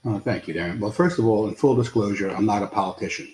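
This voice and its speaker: A man in his fifties with a New York accent and a lowish voice speaks as a guest, confident and upbeat, sometimes answering with crisp short affirmations. He sounds as if he is in an echoey room, with faint background noise behind him. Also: fast, a little mellow. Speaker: congressional candidate guest.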